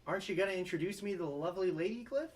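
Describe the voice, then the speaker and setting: A man plays an earnest young law graduate in a sitcom read-through. His voice is on the high side, polite and a little unsure, and sits back from the microphone. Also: very fast, far from the mic, close to an everyday voice.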